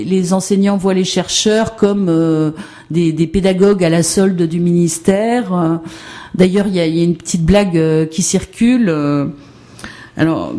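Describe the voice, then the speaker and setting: A woman's voice: lowish voice, warm tone. A woman giving an academic presentation on education research, hesitant and full of fillers and restarts.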